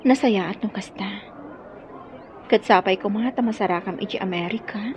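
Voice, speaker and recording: A woman's voice: medium pitch, moderate pace, a little mellow, close to an everyday voice. An actress with an Ilocano accent playing a sweet, eager young woman and affectionate daughter in a radio drama. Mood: soft, thoughtful. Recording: dull lo-fi audio, faint background noise.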